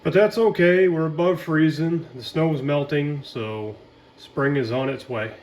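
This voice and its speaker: A man's voice: on the high side, unhurried, poor audio. Chatty ham radio man. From Maine. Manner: chatty, rambling, relaxed. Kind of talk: casual radio chat.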